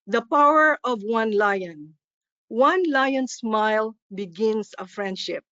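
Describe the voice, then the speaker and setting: A woman with a Filipino accent reading a poem and then a list of names at a club meeting, careful and slow, with small self-corrections. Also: fairly high pitch, no room sound, highs cut off. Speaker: club officer presenting at a district meeting.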